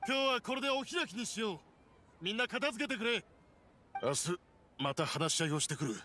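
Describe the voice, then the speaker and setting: Earnest young leader, high bright voice, japanese anime game. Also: male voice, lively intonation, rapid-fire, slight background noise. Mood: resigned.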